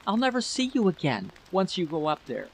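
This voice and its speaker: A man's voice: sits high, huge pitch swings, fairly quick, voice pushed up, heavily acted. A man voicing an anxious, bitter wife in a story reading, pleading emphatically over a noisy recording. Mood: bitter, resentful. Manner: pleading, emphatic, repeating questions.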